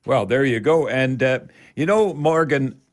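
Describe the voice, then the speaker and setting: An older Canadian man hosting a radio show on home improvement. He talks in a folksy, unscripted way, wandering a little and repeating words to drive a point home. His voice sits at an ordinary male pitch. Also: a little slow. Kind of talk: folksy live radio talk with product tips.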